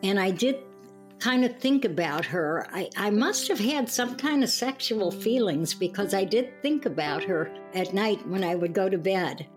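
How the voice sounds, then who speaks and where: An older woman sharing personal reminiscences in a halting, reflective way. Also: medium pitch, animated. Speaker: discussion participant. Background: music.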